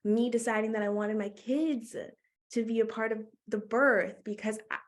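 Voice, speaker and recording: A woman from Canada, talking casually as a guest in an interview, full of "like" fillers and quick laughs. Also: fairly high pitch, darkish tone. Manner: chatty, many "like" fillers, laughs often. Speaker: guest, doula.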